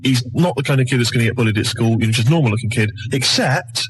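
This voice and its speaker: A husky-voiced British man of medium pitch chats casually on the radio. He is hesitant and rambling, circling back over his phrases. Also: fast, rough recording. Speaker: radio show presenter.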